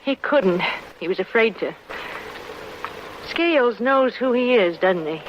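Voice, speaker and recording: An actress playing a worried, plainspoken frontier wife in an old-time radio western, earnest and hesitant with folksy rustic phrasing. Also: female voice, a little high, animated, unhurried. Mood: anxious. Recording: muffled, noisy vintage radio broadcast.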